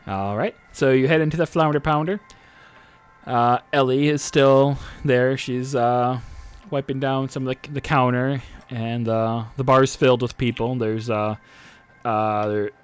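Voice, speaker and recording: Laid-back young dungeon master. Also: male voice, medium pitch, smooth. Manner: loose, full of fillers. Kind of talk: narrating a tabletop game.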